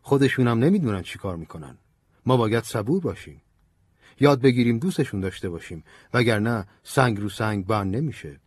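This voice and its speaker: A man voicing an old male priest who speaks in a gentle, preaching, earnest manner. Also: mid-range voice, expressive, breathy edge, no room sound, voice pushed up. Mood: calm counselling. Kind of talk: audiobook character voice.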